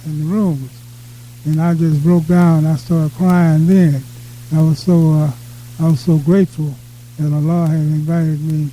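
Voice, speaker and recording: A man giving informal personal testimony in a reflective, halting way, often repeating his words. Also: on the high side, dark tone, rough recording. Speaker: panel speaker sharing pilgrimage experiences.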